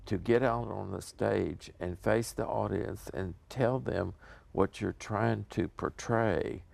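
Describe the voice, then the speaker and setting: Older American man with a heavily gravelly low voice. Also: slow, darkish tone, no room sound. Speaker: interview guest promoting a community theater show. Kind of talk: casual interview talk.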